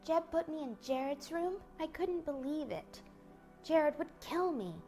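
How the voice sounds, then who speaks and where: A woman reading a novel aloud and voicing a gentle, frightened alien woman living in a human body, in an anxious, earnest way. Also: high-pitched, lively intonation, measured pace, soft background music, voice pushed up.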